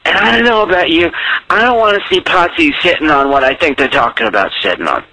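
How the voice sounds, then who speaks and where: A man's voice: a little high, animated, fast talker, bright, forceful tone, dry recording, poor audio. A drunk man rambling in a campy, comic way as he leaves a casual voicemail over the phone. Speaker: listener phoning in a voicemail.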